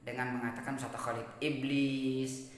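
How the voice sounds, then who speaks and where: A woman's voice: extremely low pitch, little pitch movement, normal speed. A woman with an Indonesian accent giving informal, opinionated commentary in an indignant, scolding manner full of rhetorical questions. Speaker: reaction video host. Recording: very echoey hall-like reverb.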